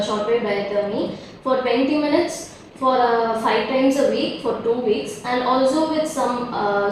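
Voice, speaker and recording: A young woman student, hesitantly presenting an example in a group discussion. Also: a little high. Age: young adult. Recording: very echoey, distant mic, faint noise.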